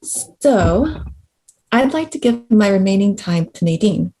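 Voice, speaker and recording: An actress reads a rich, demanding woman with a mellow, medium-pitched voice. She is cool and entitled, stating her wishes bluntly as if nothing needs explaining. It is a drama table read. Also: expressive, slow, poor audio. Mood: breezy, blunt. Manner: blunt, matter-of-fact.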